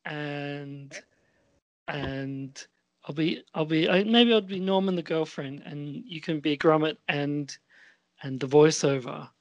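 A man with a fairly high voice chats casually and haltingly. He takes his time, and the sound is close and dry. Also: dry recording, lo-fi sound. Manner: hesitant, halting. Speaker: amateur writer and podcast performer. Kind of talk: casual chat.

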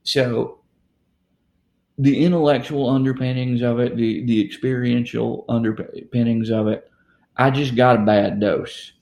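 A man from rural Mississippi talks bluntly in a relaxed podcast chat, his voice rough and gravelly. He argues his point hard, and the room around him rings with noticeable echo. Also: mid-range voice, measured pace. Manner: blunt, argumentative, profane. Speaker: podcast co-host. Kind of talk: casual discussion. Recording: roomy echo.

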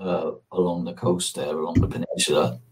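A man with a lowish, rough-edged husky voice speaks as a guest in an informal discussion. He explains history in a hesitant, winding way, often reworking his phrases midway. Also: flat delivery, brisk, a little mellow. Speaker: interview guest on magic and ancient religion.

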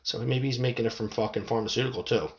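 A man with a stuffed, sniffly nose rants about the news in a voice of middling pitch. He swears freely, trails off, restarts his sentences and keeps rambling. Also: steady tone, fast. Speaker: commentator. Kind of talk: profane rant reacting to news.

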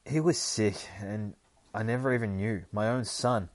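Grieving comic-book father, read by a man: middle-aged, lowish, halting and heartsore, dry close sound. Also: no room sound, natural voice. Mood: grief-stricken.

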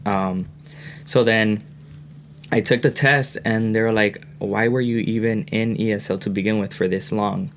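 A young man from California talking earnestly on a podcast, getting animated as he tells his own story. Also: lowish voice, moderate pace, slight background noise. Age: young adult. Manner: earnest, animated when retelling. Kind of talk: casual podcast conversation.

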